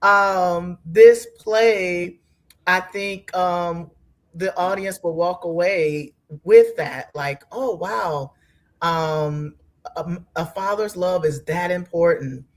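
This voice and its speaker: A woman giving reflective interview answers as a panel guest, halting and earnest, pausing between phrases. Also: fairly low pitch. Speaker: panel guest from a stage play.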